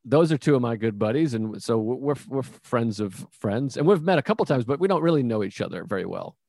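A man's voice: medium pitch, lively intonation, fairly quick, vocal fry, a little mellow, dry recording. Man, American, from Massachusetts, podcast host, dry close sound, informal, rambling, self-deprecating. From Massachusetts, United States. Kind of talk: casual conversational interview.

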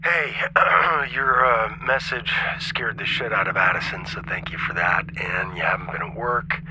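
Actor as a low-voiced worried man on voicemail. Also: background noise, natural voice. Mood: awkward worry. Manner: halting, awkward, sweary. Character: worried, gruff estranged friend leaving a voicemail. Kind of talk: naturalistic audio drama acting.

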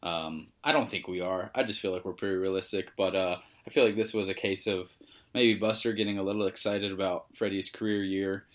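A young man co-hosting a casual sports podcast, talking in a relaxed, rambling, somewhat monotone way. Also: low voice, brisk. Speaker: podcast co-host.

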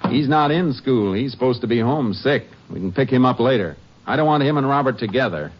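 An actor playing a calm, methodical Texas Ranger in a 1950s radio drama, questioning in a steady, clipped, authoritative way. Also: male voice, mid-range voice, a little fast, natural voice. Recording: muffled old radio broadcast with faint hiss.

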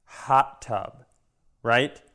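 A man with a medium-pitched voice banters casually as a comedy podcast co-host. He riffs loosely, swearing and acting out short exchanges. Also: drawn-out words, a bit bright.

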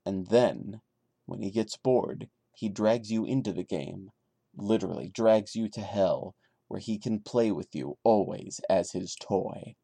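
A man's voice: lowish voice, a little slow, creaky, dark tone, breathy edge, no echo, full character voice. Man reading as a grave, horrified horror-story narrator. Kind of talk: dramatic creepypasta reading.

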